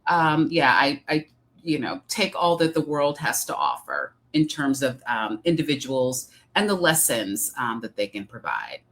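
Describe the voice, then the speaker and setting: A middle-aged woman from Detroit with a Midwestern accent, speaking as an interview guest in a measured, reflective way. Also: extremely low pitch, big pitch swings, fairly bright tone. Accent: Midwestern American. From Detroit, Michigan. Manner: measured, reflective, many um fillers. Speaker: guest, executive coach. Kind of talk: interview conversation.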